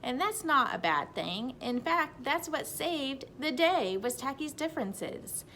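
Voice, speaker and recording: A woman's voice: sits high, sing-song, measured pace, bright, forceful tone, a little hiss or hum. A woman teacher reading a storybook aloud to children and talking to them expressively.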